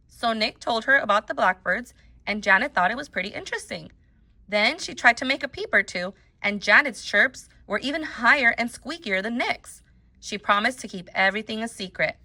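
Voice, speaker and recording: Female narrator for children's audiobook, bright forceful voice, very animated delivery, emphatic storytelling. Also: mid-range voice. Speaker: teacher reading a book aloud.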